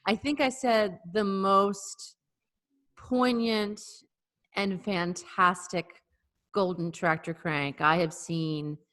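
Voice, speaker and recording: A woman's voice: mid-range voice, steady tone, slow pace, fairly bright tone. A woman in her forties co-hosting a casual video-call chat, making wry, sarcastic remarks. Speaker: co-host.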